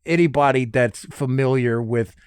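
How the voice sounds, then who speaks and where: A man talks solo as a podcast host in a close, dry recording. He rambles casually with a mid-pitched voice, swearing freely and poking fun at himself with nerdy enthusiasm. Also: normal speed, smooth, no room sound. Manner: sweary, self-deprecating, animated nerdy enthusiasm.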